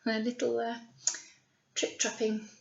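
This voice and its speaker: A woman teacher reading a picture story aloud to young children, drawing out key words and pausing to ask them questions. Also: on the high side, monotone, gravelly, highs cut off, background noise. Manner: exaggerated, drawn-out words with questions to the listeners. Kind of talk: animated read-aloud storytelling with asides to children.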